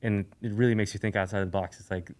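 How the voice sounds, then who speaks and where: Laid-back man from Eastern Europe, lowish voice. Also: fast. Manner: relaxed, rambling, many fillers and restarts. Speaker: guest, sneaker YouTuber. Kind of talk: casual podcast conversation.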